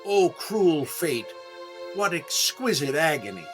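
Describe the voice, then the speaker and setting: An actor playing a vain, hapless comedian in 1930s-style radio comedy, with a deliberate, indignant delivery and dramatic pauses. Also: male voice, fairly high pitch, very animated, music in the background. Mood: mock romantic. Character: vain, hapless comedian desperate to prove his sex appeal.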